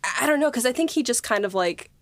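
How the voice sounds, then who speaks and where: A woman co-hosting a podcast in casual conversation, animated and acting out bits of dialogue as she talks. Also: fairly high pitch, fast, no room sound. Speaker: podcast co-host and writer.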